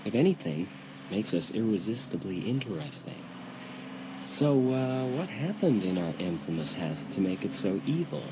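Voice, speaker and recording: A man's voice: on the low side, big pitch swings, moderate pace, noisy background. An actor playing a wry, teasing teenage boy in a dramatised audiobook, with a dry, ironic manner.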